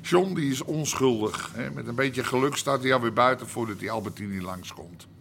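Radio drama: old-guard underworld boss, mid-pitched, blustering, proud, protective, noise behind. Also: male voice, normal speed, gravelly, fairly bright tone. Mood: boastful. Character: boastful old-school Amsterdam underworld boss, protective of his son.

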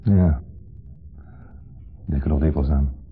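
An actor playing a wistful, sentimental divorced man in a 1970s Dutch radio play, speaking haltingly and reflectively in short fragments. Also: extremely low pitch, husky, faint music behind, exaggerated voice. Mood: tender, hushed. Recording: muffled, lo-fi 1970s radio recording.

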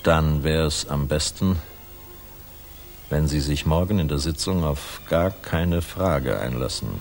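A very deep-voiced, jovial German general. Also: male voice, takes it slowly, faint music behind, heavily acted. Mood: frank, wry. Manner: blunt, colloquial, worldly. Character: cynical, jovial German air force general in wartime. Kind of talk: 1960s German radio drama acting.

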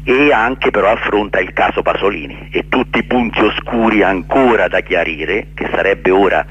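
A man answering radio interview questions earnestly and haltingly, repeating words for emphasis. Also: deep voice, fairly bright tone, breathy edge, highs cut off. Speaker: interview guest, actor and director. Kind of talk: radio interview answers, explaining his play.

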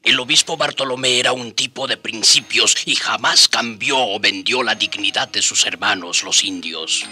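A man's voice: fairly low pitch, moderate pace, few pauses, pushed and bright. A man narrating a scripted radio drama as storyteller. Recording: music under the voice. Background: music.

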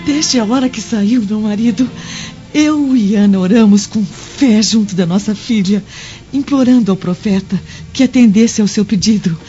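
An actress with a Brazilian accent playing a devout, gentle Roman wife and mother in a radio drama, earnest and pleading. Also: mid-range voice, normal speed, soft background music, lightly acted. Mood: grateful, joyful. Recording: dull lo-fi recording.

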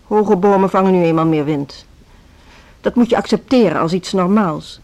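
An actress playing a seasoned, resigned music school headmistress in a radio drama, composed and patronising. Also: female voice, on the low side, moderate pace, darkish tone, close to an everyday voice. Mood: unruffled, patronising. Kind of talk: Dutch social radio drama acting.

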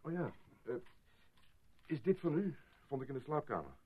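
A man's voice: on the high side, sing-song, normal speed, husky. Blunt village barman, phone-like sound. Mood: gruff, annoyed. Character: gruff, blunt village barman, easily irritated. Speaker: actor in a radio play. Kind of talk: radio play acting. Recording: muffled, phone-like lo-fi radio play.

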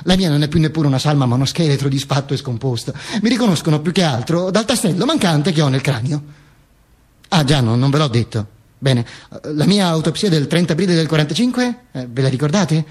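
An actor playing a dictator's corpse in a theatrical radio monologue, telling its own story wryly, with halting chatty asides and pointed repetition. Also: male voice, a little high, expressive, a little mellow, lightly acted. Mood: chatty, ironic. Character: dead dictator's corpse recounting its own posthumous travels, wry.

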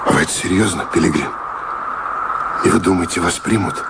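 Актёр играет в радиопьесе общительного ироничного доктора-собутыльника, говорливого, с добродушной театральной манерой. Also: male voice, low voice, fairly quick, creaky. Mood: curious, wry. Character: sociable, wry, talkative doctor, drinking companion. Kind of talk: radio play acting. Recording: noisy, hissy radio play recording.

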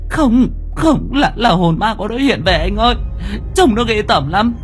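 A male narrator puts on a frightened young woman in a horror audio story, her pitch lifted well above his own. She stammers and repeats herself as she pleads for help, with music behind her. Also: expressive, moderate pace. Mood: panicked, pleading. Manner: stammering, repeats words in panic.

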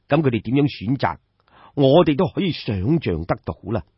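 A man with a Cantonese accent voicing a composed, shrewd court adviser of ancient China, measured and deferential, in an audio story. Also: medium pitch, big pitch swings, husky, dry recording, highs cut off, full character voice. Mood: confident, persuasive.

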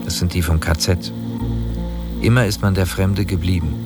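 A man's voice: sits low, steady tone, moderate pace. A man reading a first-person memory in a plain, reflective way. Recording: voice under music.

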